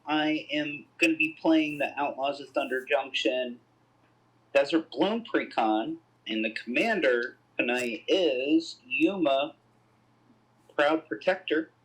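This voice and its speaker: A man speaks slowly and hesitantly as he introduces his deck. His voice sits in a middle pitch, and he pauses on fillers. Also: fairly bright tone, poor audio. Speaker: player. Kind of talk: casual chat.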